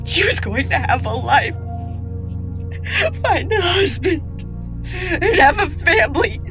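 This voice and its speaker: A woman's voice: sits high, big pitch swings, slow pace, over music, exaggerated voice. A woman playing a grief-stricken, defiant Victorian mother in an audio drama, with an anguished, theatrical delivery. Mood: bitter, tearful fury.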